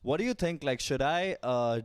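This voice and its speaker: A young man with an Indian English accent, co-hosting a casual podcast chat, fumbling and restarting his sentences often with quick laughs. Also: on the high side, expressive, clean tone, no room sound, studio quality. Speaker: podcast co-host.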